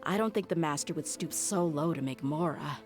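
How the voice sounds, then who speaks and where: A woman's voice: low voice, a little fast, close to an everyday voice. A woman playing a loyal, frank mercenary in a video game, with a firm and resolute manner. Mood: thoughtful. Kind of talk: video game character acting.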